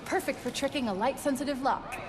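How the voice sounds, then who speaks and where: A woman's voice: sits high, a little fast, a crowd in the background, close to an everyday voice. An actress playing a clever, teasing teenage girl in a video game, speaking in a casual, wry, playful way. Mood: focused. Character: bright, teasing teenage girl science whiz. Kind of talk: naturalistic video game acting.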